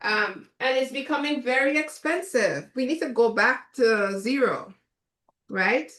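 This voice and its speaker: A Trinidadian woman chef giving a relaxed, chatty cooking demonstration, with many fillers. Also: fairly high pitch, measured pace, echoey room.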